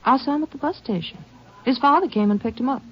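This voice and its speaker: A woman's voice: high-pitched, fast. An actress playing a folksy, gossipy small-town woman, musing through her answers in a radio western. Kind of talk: old-time radio western acting. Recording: dull old radio recording.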